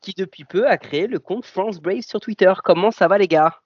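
High-voiced joking podcast co-host. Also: male voice, slightly rough, dry recording, dull audio. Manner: joking, teasing, rapid-fire news reading. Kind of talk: casual podcast chat.

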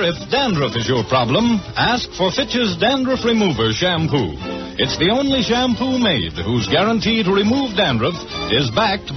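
A man with a slightly high voice pitches products in scripted 1940s radio style. His intonation is lively, and the recording is old and dull.

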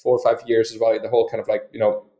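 A man's voice: on the low side, completely flat delivery, rapid-fire, warm tone, echoey room. A Swiss man, a company co-founder, answering podcast interview questions in a rambling way, full of fillers and restarts. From Switzerland.

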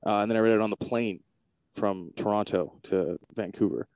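Man, interviewer, low voice, smooth and resonant, dry sound, halting fillers. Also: fairly even intonation, darkish tone, dry recording, poor audio. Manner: hesitant, full of fillers and restarts. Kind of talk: casual interview chat.

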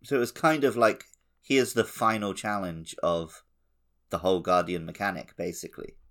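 A man's voice: low-pitched, animated, normal speed, a bit bright. A man co-hosting a podcast in a casual, conversational style about a video game. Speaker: podcast co-host.

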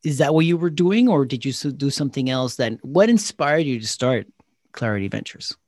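A man's voice: medium pitch, expressive, brisk, darkish tone, dry recording, clean studio sound. A man hosting a podcast, reading a scripted intro and then interviewing a guest. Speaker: podcast host.

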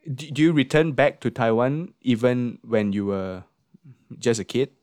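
A man's voice: medium pitch, lively intonation, a little slow, a little mellow, no room sound, studio quality. A man hosting a casual, conversational interview. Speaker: podcast host, interviewer.

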